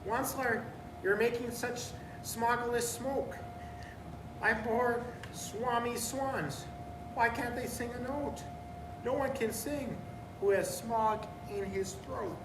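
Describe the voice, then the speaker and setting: A man's voice: slow, heavily acted. A man voicing an indignant, scolding little storybook creature in rhyme, emphatic and complaining. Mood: aggrieved. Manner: loud, emphatic, pleading and complaining. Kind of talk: storybook read-aloud in rhyme. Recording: roomy, distant mic, noisy with rumble.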